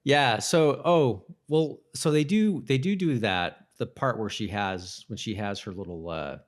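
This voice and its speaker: A man co-hosting a podcast in casual chat, talking haltingly and often rephrasing as he goes. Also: mid-range voice. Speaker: podcast co-host. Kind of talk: casual chat recapping a TV episode.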